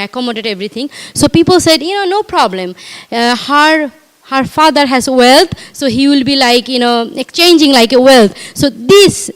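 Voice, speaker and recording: Bangladeshi-accented woman, middle-aged, fairly high voice, earnest halting speech, long hall reverb. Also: clean tone. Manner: earnest, repetitive, halting, addresses audience directly. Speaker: guest speaker. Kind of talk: personal speech at a community event. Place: community hall.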